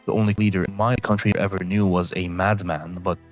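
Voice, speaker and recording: A young man from Syria narrates a scripted video essay in a very low voice. His delivery is measured and solemn. Faint music plays beneath him. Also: level tone.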